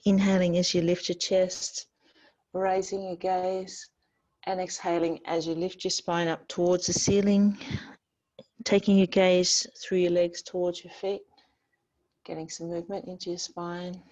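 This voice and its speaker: A woman teaching yoga, giving gentle, soothing, unhurried guided instruction with pauses between phrases. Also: lowish voice, flat delivery, low-quality recording.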